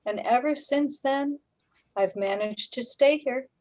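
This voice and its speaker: A woman's voice: sits high, a little slow, a little mellow. A woman playing a placid, reassuring resident of a surreal street in a radio play, speaking matter-of-factly.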